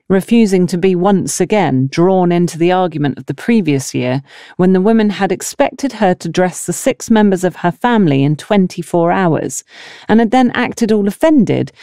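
Female audiobook narrator, lowish mellow voice, animated storytelling delivery, dry close sound. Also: no room sound. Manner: expressive storytelling with acted dialogue. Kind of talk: scripted novel narration.

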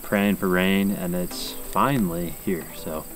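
A man's voice: sits low, a little slow. A young American man chatting casually to camera as the host of an outdoor vlog. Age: young adult. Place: outdoors in fields and woods.

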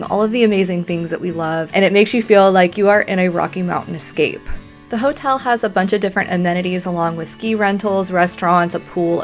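An upbeat woman in her forties reads a travel vlog voice-over, gushing with superlatives at a mid pitch. Soft music plays faintly under her. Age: 40s. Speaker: family travel vlogger. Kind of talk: scripted voice-over narration.

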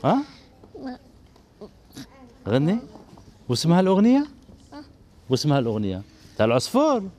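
A man in his forties with an Algerian Arabic accent, hosting a children's TV show. He gently coaxes children on stage and repeats praise over and over. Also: fairly high pitch, wildly swooping pitch, normal speed, long pauses. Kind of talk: coaxing kids on stage. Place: indoor TV studio set. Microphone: handheld mic.

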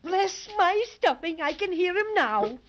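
Tiny, cheeky, squeaky-high bear. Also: female voice, sing-song, a little slow, noisy background, full character voice. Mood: surprised. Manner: peppery, scolding. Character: small, cheeky, scolding toy bear. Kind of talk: 1930s children's radio serial acting. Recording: dull, muffled 1930s radio recording.

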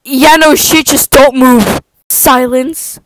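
A woman doing an improvised comic cartoon fan dub in a loud, crude, sweary manner. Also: sits high, fairly even intonation, slow pace. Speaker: amateur fan voice-over artist. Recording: noisy home recording with clicks.

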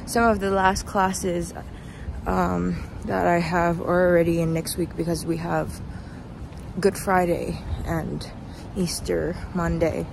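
A young woman vlogs on a noisy city street, sounding tired. She talks at a slow, halting pace, rambling casually and poking fun at herself. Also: on the low side, flat delivery, creaky. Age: young adult. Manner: halting, rambling, self-deprecating. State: tired, sleep deprived. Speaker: vlogger, student performer. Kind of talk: casual walking vlog, rambling chat. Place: outdoors on a city street at night, walking. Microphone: phone at arm's length. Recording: noisy outdoor street, traffic ambience.

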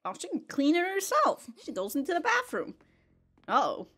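A woman's voice: sits high, huge pitch swings, normal speed, a bit bright. A young adult woman giving casual, blunt reaction commentary with amused, sweary asides, close on a desk microphone in a small home room. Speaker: anime reaction host. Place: small home bedroom studio.

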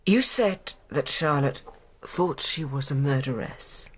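A woman's voice: very deep voice, expressive, slow pace, slight background noise, exaggerated voice. An actress playing a grave, controlled, grieving mother in a radio drama, clipped and formal. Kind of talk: BBC radio drama acting.

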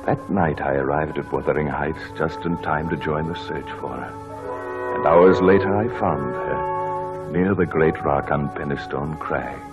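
An actor playing a genial, tender, well-bred gentleman in a 1940s radio drama. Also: rumbling low, measured pace. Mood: wistful. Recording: dull old radio broadcast, music behind.

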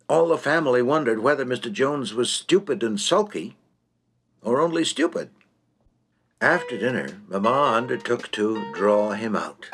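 A man narrating a comic short story in a droll, theatrical, precise manner. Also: mid-range voice.

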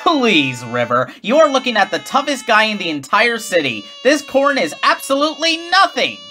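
A narrator acts out a whiny, boastful cartoon boy from a read-along comic. He grumbles dramatically, with a bright edge and huge swings in pitch. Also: wildly swooping pitch, moderate pace, faint music behind.

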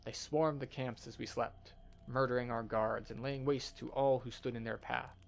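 Man acting as fantasy game scholar, earnest, formal, measured, creaky, medium pitch. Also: fairly even intonation, lightly acted. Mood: grave, pleading.

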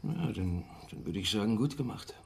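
A man playing a gentle, evasive father and husband in a naturalistic radio drama, soft and hesitant. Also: lowish voice, sing-song, normal speed, gravelly, slightly rough, heavily acted. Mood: subdued.

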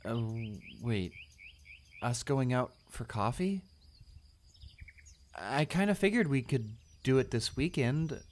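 Shy male classmate, acted by a man: kind, easily flustered, halting and apologetic, medium pitch, for romantic audio roleplay. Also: expressive, slight background noise. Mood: shy, casual. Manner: stammering, hesitant, apologetic.

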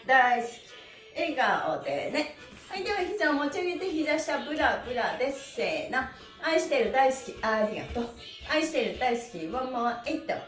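A middle-aged woman leading a livestreamed stretching class, cheerfully calling out instructions and rhythmic chanted counts. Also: on the high side. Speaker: stretching instructor and pantomime teacher. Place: small indoor studio with bare wall. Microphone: camera a few metres away. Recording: roomy, distant microphone, faint music.

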